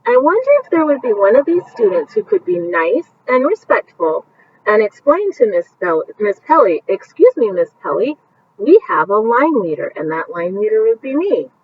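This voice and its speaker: A woman reads a children's picture book aloud as a bedtime story, her pitch swinging widely as she talks about the pictures. She sits a little back from the mic. The sound is dull, with a faint hiss underneath. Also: recorded from a distance. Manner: animated, pausing to point out pictures to young listeners.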